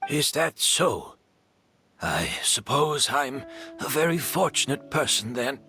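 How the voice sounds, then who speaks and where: A man voicing a gentle, aging scholar and teacher in a video game, speaking softly and reflectively with a resigned calm. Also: medium pitch, slow pace, creaky, soft background music, heavily acted. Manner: soft-spoken, reflective, resigned. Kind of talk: game dialogue.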